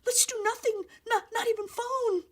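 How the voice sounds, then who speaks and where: A woman voicing a timid, fretful little girl in a storybook, squeaky and stammering. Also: steady tone, normal speed. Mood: worried, tearful. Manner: stammering, breathy, whiny.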